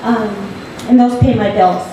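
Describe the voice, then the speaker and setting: A woman's voice: fairly high pitch, measured pace. A woman from Alaska speaking on a panel, hesitant and full of fillers. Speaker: panelist, visual artist. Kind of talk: panel talk. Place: large gallery hall. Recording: echoey hall from far off, background noise.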